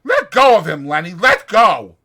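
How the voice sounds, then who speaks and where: An urgent, protective ranch hand played by a male reader in a dramatized audiobook. He is sharp-tongued and commanding, his raised voice forceful and full of swings. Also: high voice, very animated, moderate pace, voice pushed up, heavily acted. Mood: urgent shouting. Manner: yelling, commanding.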